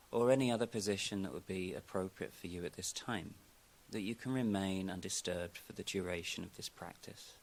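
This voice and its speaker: Man, deep voice, creaky fry, calm meditation guide, gentle instructions, long pauses. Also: a little hiss or hum. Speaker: yoga nidra meditation teacher.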